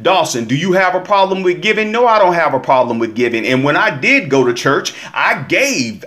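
Brief: male vlog host, casual and preachy, speaks a bit high and addresses listeners directly. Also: sing-song, moderate pace, fairly bright tone. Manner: emphatic, preachy, talks straight to listeners. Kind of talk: casual opinion commentary.

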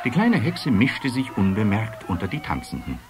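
A man's voice: lowish voice, wildly swooping pitch, normal speed, over loud music. A man narrating a scripted children's fairy tale as the storyteller of a radio play.

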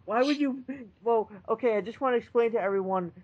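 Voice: mid-range voice, fairly quick, no echo, narrow, tinny audio. A video co-host in casual, joking chat, rambling through mock explanations.

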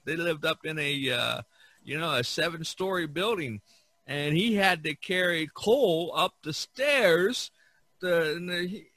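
Bright-voiced man chatting on a podcast. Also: on the high side, no echo. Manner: halting, repeats words while searching for phrasing. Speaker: podcast host. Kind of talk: casual podcast chat.

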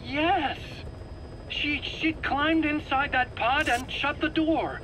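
An actor playing a nervous, evasive male scientist under questioning in a video game, stammering, defensive and pleading in a halting, self-pitying way. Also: extremely high pitch, sharp tone, slight background noise, exaggerated voice, poor audio. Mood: panicked denial. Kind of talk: dramatic video game voice acting.

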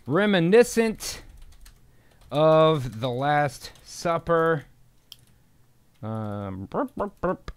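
A man's voice: fairly high pitch, sing-song, extremely slow, long pauses, clear, resonant tone, dry recording. A young man hosting and narrating, switching between dramatic script reading and casual sweary chat, close on a studio mic. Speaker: host and narrator. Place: small home studio. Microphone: boom-arm studio mic and headset.

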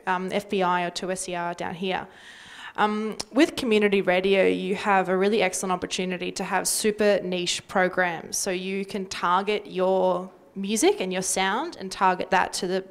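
A woman's voice: mid-range voice, gravelly. A young adult woman with an Australian accent giving practical advice in panel talk on a handheld microphone. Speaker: community radio panelist. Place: indoor panel event.

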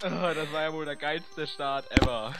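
A man's voice: a little high, normal speed. A young man co-commentating on a video game with silly, joking, casual banter. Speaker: guest co-commentator. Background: video game music.

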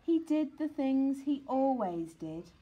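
A woman reading a children's picture book aloud, deliberate and expressive. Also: high voice, muffled recording. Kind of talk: children's storybook narration.

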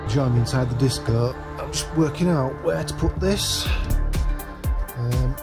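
A man talks through a hands-on job in a parked car. His voice sits at a middling pitch, and he speaks informally and a little hesitantly, working things out as he goes. Loud music plays behind him. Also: unhurried. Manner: hesitant, thinking aloud. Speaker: vlogger showing a DIY vehicle project. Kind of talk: casual vlog commentary while working.

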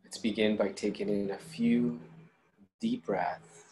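A man leading a guided meditation, giving calm, unhurried instructions with long pauses between phrases. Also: fairly low pitch, low-quality recording. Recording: clear steady background noise.

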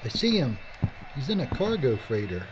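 A man's voice: mid-range voice, wildly swooping pitch, moderate pace, dull audio, a little hiss or hum. An actor playing a blunt, wry, fatalistic male filmmaker making a last stand in a radio horror drama. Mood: steady, tense.

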